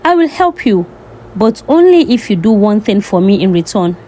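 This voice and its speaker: A woman's voice: medium pitch, big pitch swings, normal speed, dark tone, slight background noise, natural voice. A woman storyteller voicing a bargaining, commanding king of the forest rats in a folktale.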